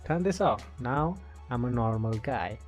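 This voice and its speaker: A man giving a casual software tutorial, often tagging his sentences with okay. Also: medium pitch, lively intonation, measured pace. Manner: repeats okay as a tag. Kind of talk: casual screen-recorded tutorial. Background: background music.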